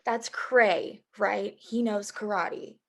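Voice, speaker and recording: A woman's voice: medium pitch, fairly even intonation, slow. A woman playing a sarcastic, streetwise assistant in her mid-twenties, reading a screenplay with dry delivery. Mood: wry. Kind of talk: screenplay table read acting.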